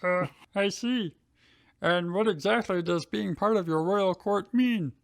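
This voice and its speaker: A man's voice: high voice, unhurried, gravelly, pitched above the performer's own voice. A man voicing a sheepish, puzzled young male coordinator in a wobbly, puppet-like comic voice for a fan fiction reading. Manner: wobbly puppet-like voice that began as a frog impression.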